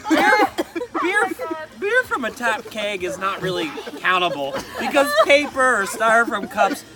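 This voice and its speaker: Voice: medium pitch, very animated, measured pace, low-quality recording. Someone reading a humorous letter aloud to family, amused and often breaking into laughter. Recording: loud crowd chatter, outdoor family gathering. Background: people chatting and laughing.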